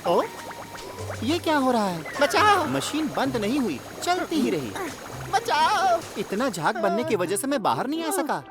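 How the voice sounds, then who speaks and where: Lively, high-spirited cartoon train. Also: a little slow, loud music behind the voice. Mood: animated. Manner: expressive, earnest, exclaiming. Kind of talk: children's cartoon dub in Hindi.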